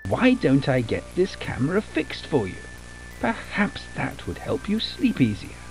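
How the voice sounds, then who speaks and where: A man playing a soothing children's storybook character, speaking in slow, drawn-out theatrical phrases. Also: a little high, huge pitch swings, soft background music, full character voice, low-quality recording. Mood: coaxing, reassuring. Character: soothing storybook narrator and coaxing goofy sleep fairy. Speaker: narrator of a children's bedtime story film.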